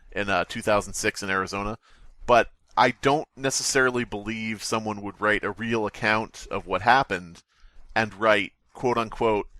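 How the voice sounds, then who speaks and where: A man's voice: fairly low pitch, bright, forceful tone, dry recording. A man co-hosting a podcast in casual chat, reading stories aloud and commenting with wry skepticism. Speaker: podcast co-host.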